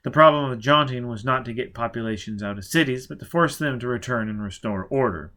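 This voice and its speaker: Expressive male audiobook narrator. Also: mid-range voice, a little fast. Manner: steady, expressive reading, with occasional slips and self-corrections. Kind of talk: amateur audiobook reading of a novel.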